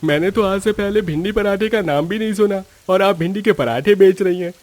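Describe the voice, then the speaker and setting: A man puts on an enthusiastic street-food customer for a cartoon tale. He is jovial and impressed, his pitch raised high. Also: brisk, darkish tone, lightly acted. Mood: amused, impressed. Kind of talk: Hindi cartoon story dialogue.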